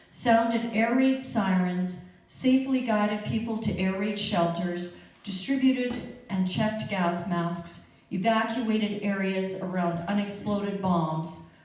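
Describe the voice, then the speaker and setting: A woman's voice: medium pitch, a little mellow. A woman introducing a guest speaker to a church congregation, reading an explanatory introduction steadily. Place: church hall. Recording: echoey church hall from far off.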